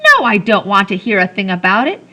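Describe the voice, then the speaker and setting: A woman voicing a brisk nun teacher who scolds a group of children. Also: mid-range voice, sing-song, sharp tone, pitched above the performer's own voice, poor audio. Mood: scolding.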